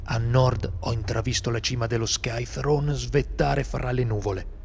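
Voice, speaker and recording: A man playing a seasoned, solitary monster hunter in an amateur radio drama, speaking gravely and steadily. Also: medium pitch, steady tone, unhurried, a little mellow, a little hiss or hum. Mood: grim. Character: seasoned male monster hunter, brooding loner.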